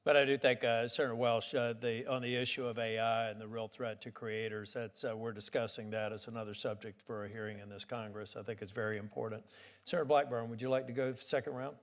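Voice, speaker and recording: A man's voice: medium pitch, little pitch movement, a little fast. A man presiding as committee chairman, making formal procedural remarks.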